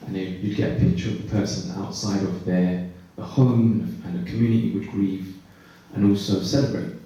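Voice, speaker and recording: A man on a conference panel telling his own story, reflective and pausing mid-thought. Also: deep voice, fairly even intonation, dark tone. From Britain. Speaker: panelist, care leaver. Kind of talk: personal testimony on a panel. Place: conference hall. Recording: echoey hall, voice far from mic.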